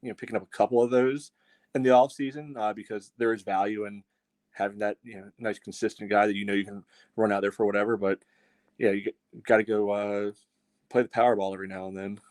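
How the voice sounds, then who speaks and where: A man with a fairly low voice co-hosts a sports podcast. The sound is close and very dry, and he talks casually, offering measured opinions in a halting way. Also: level tone, a little mellow, no echo. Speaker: co-host of a fan sports podcast.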